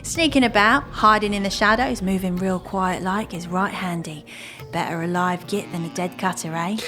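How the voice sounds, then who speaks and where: A woman's voice: medium pitch, normal speed, pushed and bright, lightly acted. Woman playing a cocky streetwise thief, music behind. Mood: brisk instruction. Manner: slangy, wry, teasing. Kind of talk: fantasy audio drama acting.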